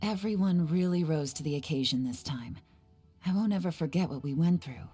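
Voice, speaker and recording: A woman's voice: low voice, brisk, warm tone, slightly rough. A woman voicing a composed, teasing female spy with a wry, slightly menacing manner in a game cutscene. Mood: thoughtful. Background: game music.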